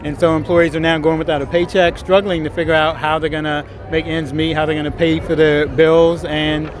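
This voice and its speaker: A man's voice: on the high side, level tone, a little fast, over music. An American man giving an earnest street interview outdoors. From Maryland, United States. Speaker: federal employee interviewee. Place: outdoors at a rally. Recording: busy outdoor crowd noise.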